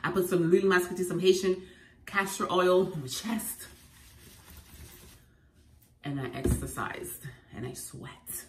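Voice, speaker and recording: A woman with a head cold, sounding congested, sharing home remedy tips in an animated, emphatic vlog at home. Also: on the low side, unhurried, pauses often. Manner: animated and emphatic, repeating phrases. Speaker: lifestyle vlogger. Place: at home indoors. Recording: echoey room, faint noise.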